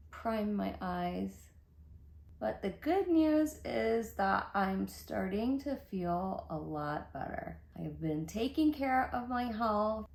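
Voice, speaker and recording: A woman's voice: medium pitch, vocal fry. A middle-aged woman with a congested voice, chatting casually through a makeup tutorial. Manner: chatty, upbeat, thinking aloud while applying makeup. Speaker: beauty vlogger. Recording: echoey room.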